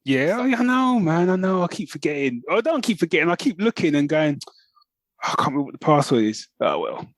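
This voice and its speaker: A man's voice: on the high side, sing-song, a little fast, no room sound. Casual middle-aged British man, close dry sound. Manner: informal, often says man and you know. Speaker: podcast co-host. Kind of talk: casual chat.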